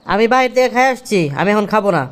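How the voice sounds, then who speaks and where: A man's voice: high-pitched, quick pace, fairly bright tone, clear, resonant tone. A man playing an irritable, scornful two-timing husband and office boss in a cartoon drama, curt in manner. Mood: harsh, angry. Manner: scolding, curt.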